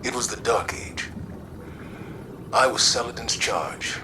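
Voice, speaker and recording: An older man playing a grave, steady military commander in scripted video game radio dialogue. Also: slow pace. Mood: reflective. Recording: radio call with clear rumble.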